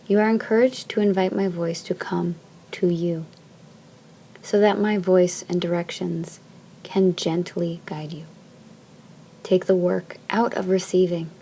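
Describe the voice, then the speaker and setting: Soft, mellow woman guiding deep relaxation. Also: a little hiss or hum. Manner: soft, soothing, measured phrasing with long pauses. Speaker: yoga nidra teacher. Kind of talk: guided meditation, slow instruction.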